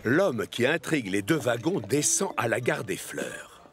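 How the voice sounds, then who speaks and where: A man's voice: medium pitch, big pitch swings, unhurried, background noise. A man narrating a children's cartoon like a scripted storybook.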